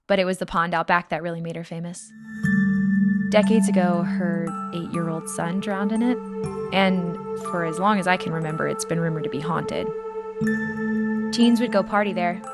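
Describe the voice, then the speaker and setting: A woman playing a small-town local who narrates ghostly town lore in an audio drama, measured in delivery. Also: lowish voice, natural voice. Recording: loud music under the voice. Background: mallet music cue.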